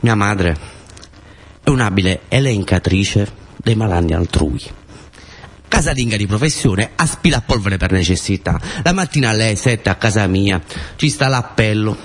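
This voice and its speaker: A man's voice: fairly low pitch, lively intonation, moderate pace. Southern Italian man telling a theatrical story. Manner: colloquial, repetitive, with short broken phrases. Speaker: actor and storyteller.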